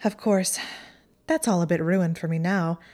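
A woman voicing a haughty, sharp-tongued teenage witch girl in a storybook reading, with a mocking, high-reaching delivery. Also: moderate pace, close to an everyday voice. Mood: bitter. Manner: mocking, acidic, voice rises high.

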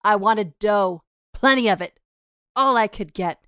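A woman's voice: medium pitch, measured pace, bright, forceful tone, smooth, no room sound, studio quality. A woman acting a sneering, venomous gold-digging wife. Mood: mocking scorn. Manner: sneering, theatrical, slangy. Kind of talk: 1930s radio horror melodrama table read.

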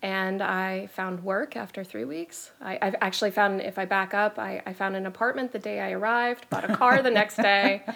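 A woman in her thirties from Florida with an American accent, chatting as a podcast guest and telling her story in a quick, chatty way. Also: mid-range voice, vocal fry, a bit bright. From Florida, United States. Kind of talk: casual interview chat.

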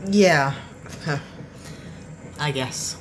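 A woman's voice: low voice, very slow, a little hiss or hum, low-quality recording. A woman playing an anxious human space adventurer in a sci-fi audio drama, with a hesitant, stammering, awkward delivery. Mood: awkward, deflated.

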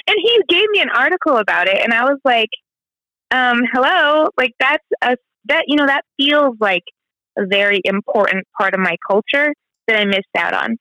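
A woman's voice: a little high, lively intonation, normal speed, bright, forceful tone, no echo, low-quality recording. A woman in her thirties from the American Midwest, talking candidly in a casual conversation, full of "like" and "you know". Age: 30s. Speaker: guest. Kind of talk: personal conversation.

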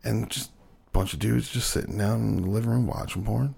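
Loud, gravelly man chatting on a podcast. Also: lowish voice, steady tone, brisk. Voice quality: loud voice that carries. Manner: profane, storytelling, laughing often. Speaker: podcast co-host. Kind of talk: casual chat.

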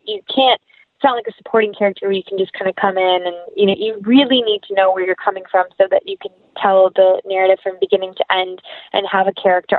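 A woman's voice: mid-range voice, no room sound, narrow, tinny audio. A woman speaking as an actress being interviewed, chatting casually and animatedly with quoted asides, over a thin remote call.

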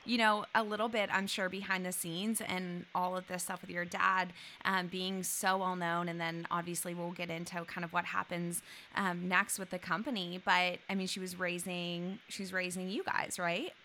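A young woman hosting a casual interview, eager and quick to react. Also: on the low side, level tone, sharp tone, slight background noise. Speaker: podcast host.

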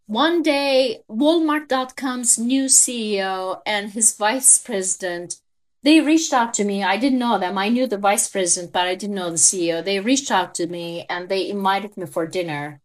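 A woman in her fifties from Turkey with a Turkish accent, a guest in an interview telling her career story reflectively, with frequent small laughs. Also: fairly high pitch.

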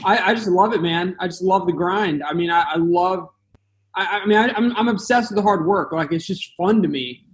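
High-voiced young man, earnest guest. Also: normal speed. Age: 20s. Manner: earnest, repeats words as he starts sentences. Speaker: podcast guest. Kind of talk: interview answers.

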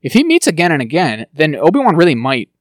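A man co-hosting a podcast, chatting casually and quickly, with lively asides and quick mock voices. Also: on the high side, no echo, studio quality. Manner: fast, animated, breaks into quick mock impressions. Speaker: podcast co-host. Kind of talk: casual chat about TV shows.

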